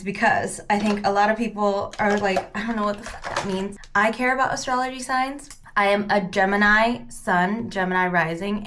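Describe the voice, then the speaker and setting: A woman's voice: medium pitch, a little hiss or hum. A woman in her thirties, born in California with Cantonese as her first language, chatting casually while she does her makeup. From San Francisco Bay Area, California. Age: 30s. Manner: chatty, peppered with "like", soft-spoken while doing makeup. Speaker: beauty vlogger. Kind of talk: casual get-ready-with-me chat.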